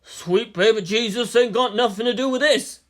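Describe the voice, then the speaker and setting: A woman's voice: a little high, normal speed, pitched above the performer's own voice, lightly acted. Woman playing a tense, clipped military squad leader. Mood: awed. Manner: clipped military radio talk. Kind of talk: dramatized fan fiction reading.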